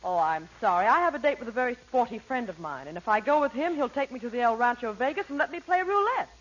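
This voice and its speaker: English female radio player, 1940s comedy. High voice, band-limited vintage recording. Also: animated, quick pace, muffled recording. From England. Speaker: singer and cast member on a radio show.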